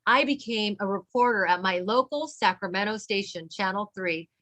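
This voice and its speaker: A woman's voice: medium pitch, lively intonation, normal speed, fairly bright tone. A woman reading a children's picture book aloud, with teacherly emphasis for young listeners. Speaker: read-along co-reader.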